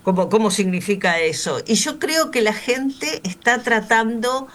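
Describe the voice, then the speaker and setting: A woman's voice: a little high, lively intonation, measured pace, a bit bright. Chatty woman in her sixties. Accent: Río de la Plata Spanish. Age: 60s. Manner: chatty, anecdotal, uses "este" as filler. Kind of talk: radio panel discussion.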